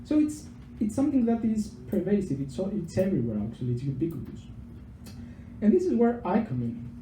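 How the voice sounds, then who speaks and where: Man, Greek accent, young adult, public science talk, handheld mic, dark mellow voice, lively pitch. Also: fairly quick, slight background noise. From Greece. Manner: chatty and self-deprecating, with frequent fillers and repeated words. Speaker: PhD researcher giving a public talk. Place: pub venue with a live audience. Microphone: handheld microphone. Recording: echoey venue with faint background ambience.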